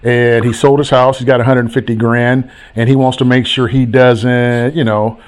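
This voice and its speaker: Male coach-host, mid-pitched, animated and preachy, repeats points. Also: moderate pace. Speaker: host, real estate coach. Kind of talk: casual call-in chat.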